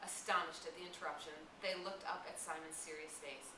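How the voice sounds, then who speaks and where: A woman's voice: low-pitched, fairly even intonation, brisk. A woman reading a novel aloud, sometimes stumbling and repeating words. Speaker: audiobook-style reader. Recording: roomy, distant mic, clear hiss.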